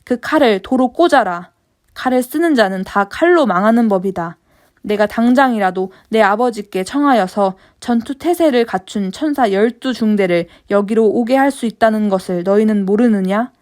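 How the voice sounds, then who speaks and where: A woman reading the words of Jesus in a Bible passage, in her own voice, calm and measured. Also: mid-range voice, lightly acted. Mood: grave. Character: Jesus, calm grown man, grave and firm. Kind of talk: scripture reading.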